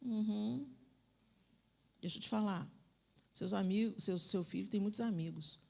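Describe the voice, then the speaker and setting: A woman preaching a sermon in a church, talking to the audience directly and swinging between loud, emphatic declarations and soft asides. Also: mid-range voice, measured pace, gravelly. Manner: conversational, addresses the audience directly. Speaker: preacher at a women's conference. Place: church sanctuary.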